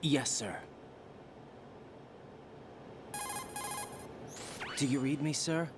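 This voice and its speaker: An actor playing an earnest young military operative in a video game, terse, questioning and incredulous. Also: male voice, medium pitch, moderate pace, noisy background, natural voice. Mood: respectful, wary. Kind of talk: dramatic video game cutscene acting.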